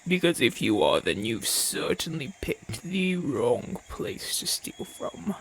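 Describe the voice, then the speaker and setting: Casting: male actor as haughty Roman gladiator, gruff and imperious, creaky voice, unhurried delivery, breathy murmurs, for ASMR roleplay. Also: mid-range voice, sing-song, slight background noise, heavily acted, poor audio. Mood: haughty, guarded. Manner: imperious, formal, dismissive. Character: proud, haughty Roman gladiator champion, gruff but softening. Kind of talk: ASMR audio roleplay acting.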